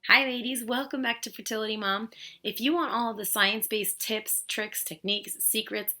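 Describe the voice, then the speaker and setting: A woman hosting a fertility video channel, a little hoarse as she loses her voice, explaining things in an earnest, emphatic way. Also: on the high side, moderate pace, bright, forceful tone, faint music behind. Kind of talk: educational vlog talk.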